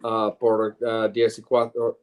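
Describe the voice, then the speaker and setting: A middle-aged man, a native English speaker and lawyer, answering interview questions in halting, searching phrases and switching between two languages. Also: lowish voice, monotone. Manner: halting, searching for words in Spanish. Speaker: lawyer and former state senator, guest.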